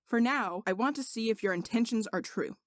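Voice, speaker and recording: A woman's voice: fairly high pitch, brisk, dry recording, studio quality, lightly acted. A woman voicing a skeptical, commanding king in a story, with a blunt, imperious manner. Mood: commanding, suspicious.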